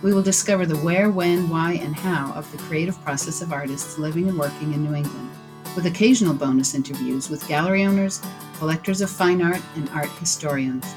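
A woman hosting a podcast, reading a scripted welcome, then chatting with a guest with quick encouraging replies. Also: low-pitched, over music. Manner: upbeat, encouraging, quick affirmations. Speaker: podcast host. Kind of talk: scripted intro then casual interview.

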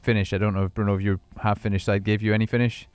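A man's voice: fairly low pitch, little pitch movement, rapid-fire, a little mellow, smooth, dry recording, faint music behind. A man hosting a casual radio interview, rambling and restarting his questions as he talks. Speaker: radio host.

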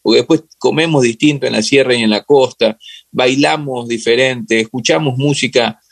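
A man's voice: medium pitch, moderate pace, gravelly, dry recording. A man with an Ecuadorian accent talking casually and reflectively as an interview guest, searching for words and often repeating phrases. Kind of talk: casual, reflective football discussion.

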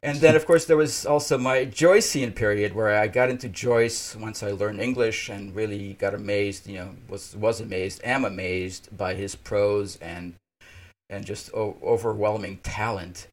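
A man in his fifties, a native Russian speaker, hosting a podcast interview and talking in a halting way with many fillers and restarts. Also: lowish voice, fairly even intonation. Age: 50s. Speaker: podcast host. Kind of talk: casual interview.

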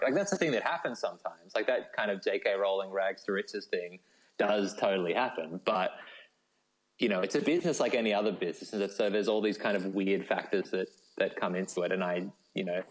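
A man's voice: low voice, rough recording. An Australian man answering as an interview guest in an informal, rambling way, peppering his talk with filler words. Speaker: novelist guest. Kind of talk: casual chatty interview answers.

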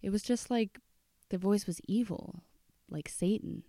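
A woman voicing a frightened female radio caller telling a spooky story, halting and repeating herself. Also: mid-range voice, creaky, mellow, no echo, clean studio sound. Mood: flustered.

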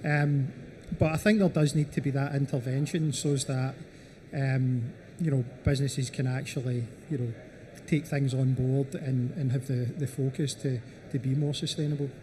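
A man's voice: mid-range voice, fairly even intonation, moderate pace, background noise. A man speaking as a panelist in a panel discussion, leaning on "you know" as filler. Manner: repeats "you know" often.

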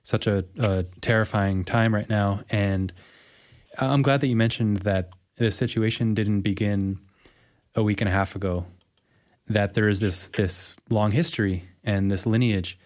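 A male podcast host asking interview questions in a polite, measured, slightly halting way. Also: lowish voice, steady tone, darkish tone.

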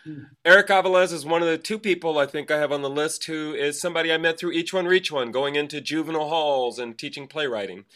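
A man co-hosting a theater podcast, reading off birthdays in casual, animated chat with some swearing and trailing fillers. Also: on the high side, fast. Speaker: podcast co-host.

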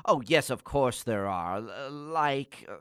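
An actor playing a morose, pompous schoolboy with florid, wordy gothic diction in a comic radio play. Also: male voice, mid-range voice, expressive, slow pace, fairly bright tone, clean tone, no echo, studio quality. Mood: flustered. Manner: pompous, wordy, hesitant when flustered.

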